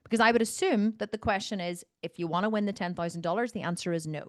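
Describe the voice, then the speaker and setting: A woman's voice: medium pitch, quick pace, no room sound, clean studio sound. Emphatic, no-nonsense woman coach. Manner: emphatic, insistent, repeats phrases for stress; blunt, no-nonsense. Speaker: fitness coach. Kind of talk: coaching Q&A talk on a video call. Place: indoors, on a video call.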